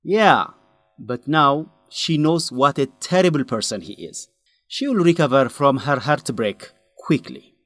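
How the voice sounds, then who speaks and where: An actor playing an earnest, principled young man who urges his friend in a radio drama, with an animated, scolding manner. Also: mid-range voice, unhurried, no room sound, soft background music. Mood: teasing, friendly. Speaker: friend and fellow researcher.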